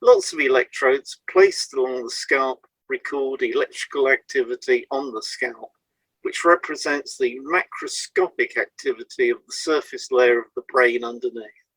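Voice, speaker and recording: A man presents scripted educational material in a measured, explanatory manner. His mid-pitched voice is a little husky, and the recording is close and dry, with no room sound. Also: a bit bright, dry recording, poor audio. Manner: measured, explanatory, lists items one by one. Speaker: podcast presenter and hypnotherapist.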